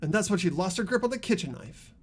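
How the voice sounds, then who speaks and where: A man voicing an earnest high school boy in a mystery game, explaining a case in a deliberate, dramatic way. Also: lively intonation, husky. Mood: grave, determined. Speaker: amateur voice actor in a game playthrough.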